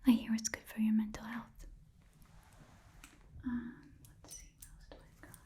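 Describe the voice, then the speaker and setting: Woman as goblin pawn shopkeeper, whispered ASMR roleplay, breathy, mellow, easygoing, casual rambling haggler. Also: on the high side, monotone, normal speed, close to an everyday voice. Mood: casual, helpful.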